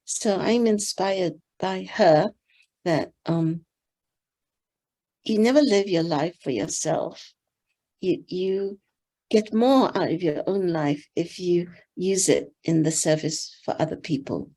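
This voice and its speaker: An older woman from Singapore whose first language is Teochew, giving gentle, thoughtful interview answers with soft pauses. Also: on the low side. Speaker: interview guest, board member.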